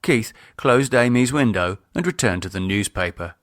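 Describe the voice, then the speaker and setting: British man, audiobook narrator, scripted storytelling, animated intonation, dry close sound. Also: lowish voice, moderate pace, dry recording. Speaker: author and narrator.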